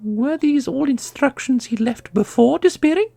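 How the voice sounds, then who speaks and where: Woman acting as a high, husky, commanding celestial heroine. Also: expressive, normal speed, warm tone, no room sound, exaggerated voice. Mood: composed. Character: commanding celestial woman searching for her lost grandmaster sibling. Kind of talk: fantasy audio roleplay acting.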